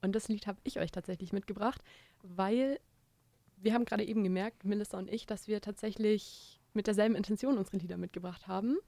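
A young woman co-hosting a casual podcast, playful and quick to laugh, mixing in English slang. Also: medium pitch, steady tone, dark tone, no room sound. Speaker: podcast co-host. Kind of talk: casual chat.

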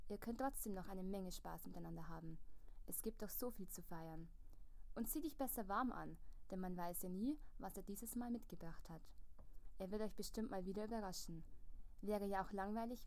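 A woman co-hosting a podcast, running a casual quiz and joking playfully at her own expense. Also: mid-range voice.

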